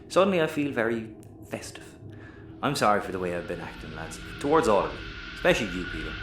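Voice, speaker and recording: Animated, sulky Irish space captain. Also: male voice, lowish voice, fast talker, music in the background. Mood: contrite. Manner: grumbling, sweary, blustering. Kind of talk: sci-fi audio comedy acting. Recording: echoey room, clear background noise.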